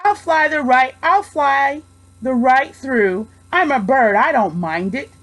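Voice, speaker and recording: A mighty storybook eagle, voiced by a woman, sneers with defiance. The delivery is raised in pitch and slow, mean and boastful. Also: animated, a bit bright, heavily acted, poor audio. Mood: defiant.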